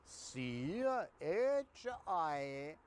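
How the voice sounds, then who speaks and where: Man acting a high, swooping, hustling Italian agent. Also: sing-song, darkish tone, a little hiss or hum, exaggerated voice. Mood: businesslike, insistent. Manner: halting, repetitive, spells things out slowly.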